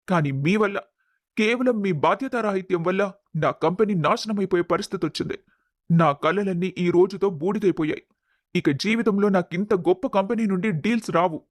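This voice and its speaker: Casting: man to play stern young boss in an audio family drama, high-pitched male voice, close dry sound. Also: no echo. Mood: bitter, despairing. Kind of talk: dramatised audio story acting.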